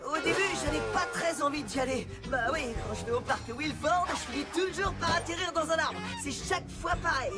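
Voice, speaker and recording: A woman's voice: high-pitched, brisk, few pauses, close to an everyday voice. A woman voicing a chatty, friendly, clumsy little helper in a children's cartoon dub. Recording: music behind the voice.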